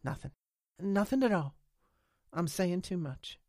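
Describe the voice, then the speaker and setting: A woman voicing an anxious, defiant rural woman in an audiobook, speaking haltingly and insistently in country dialect. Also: fairly low pitch, expressive, mellow, no echo, clean studio sound. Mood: anxious, guarded. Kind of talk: audiobook character acting.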